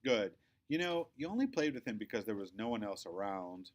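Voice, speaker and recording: A snobbish young girl from a children's storybook, acted out by a man. The delivery is bossy and condescending, with emphatic stresses and very lively swings in pitch. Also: a little fast. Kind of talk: children's storybook read-aloud.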